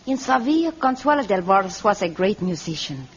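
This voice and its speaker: A woman playing a confiding jailer of a hidden city in a vintage radio adventure, husky and expressive. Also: mid-range voice, moderate pace, close to an everyday voice. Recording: dull vintage radio recording.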